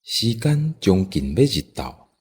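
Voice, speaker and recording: A man's voice: fairly low pitch, sing-song, takes it slowly, background noise. A man with a Taiwanese accent narrating a scripted folk story as the storyteller.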